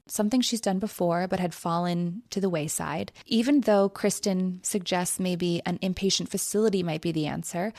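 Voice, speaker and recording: A woman with a fairly low voice hosts a book podcast. She retells a memoir in relaxed conversation and reads passages from it aloud. Her voice sounds dry and close, with no room around it. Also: dry recording. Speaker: podcast host. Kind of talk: casual book discussion.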